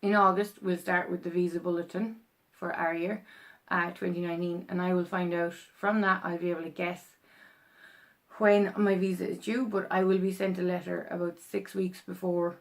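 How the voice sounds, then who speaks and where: Chatty Irish woman with a gravelly voice. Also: lowish voice, flat delivery, moderate pace. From Ireland. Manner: rambling, chatty, full of ums and restarts. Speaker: vlogger. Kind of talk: casual explainer vlog.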